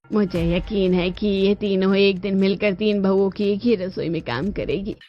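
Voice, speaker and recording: A woman voicing a calm, practical mother-in-law in a cartoon family story. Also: medium pitch, moderate pace, close to an everyday voice. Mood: content. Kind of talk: Hindi cartoon story voice acting. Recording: dull lo-fi audio over music.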